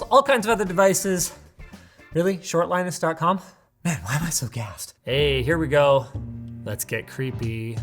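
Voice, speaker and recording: A man hosting a casual product unboxing, excitable and sarcastic, with a Canadian accent. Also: high voice. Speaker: tech video host.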